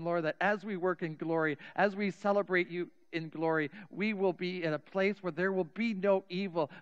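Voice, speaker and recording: A middle-aged man preaching as a pastor in a church sanctuary, telling a story earnestly and slowing down with soft, trailing endings. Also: high voice, clear, resonant tone, muffled recording. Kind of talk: sermon storytelling and spoken prayer. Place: large church sanctuary, indoors. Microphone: cheek headset mic.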